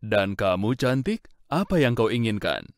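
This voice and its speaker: A man voicing a kind, worn-out middle-aged merchant father in a dubbed cartoon, speaking gently and earnestly. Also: lowish voice, sing-song, unhurried, no room sound. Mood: gentle. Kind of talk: cartoon dubbing. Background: background music.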